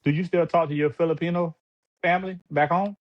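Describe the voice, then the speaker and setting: Man, podcast host, relaxed slangy talk, dull lo-fi recording. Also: on the high side, brisk. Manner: laid-back slangy hype-man talk. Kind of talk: casual podcast interview. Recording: dull lo-fi sound.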